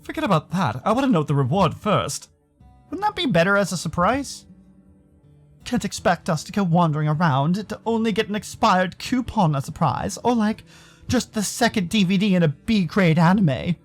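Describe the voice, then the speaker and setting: A man's voice: high voice, big pitch swings, normal speed, faint music behind. An American man voicing a curious, skeptical young furry protagonist who narrates and talks in a visual novel, with a dry questioning manner.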